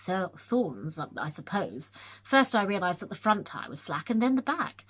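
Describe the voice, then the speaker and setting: A woman's voice: medium pitch, moderate pace. A woman reading aloud, voicing a young male scholar who tells his tale eagerly, with nervous stumbles. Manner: lively, excited storytelling with hesitations.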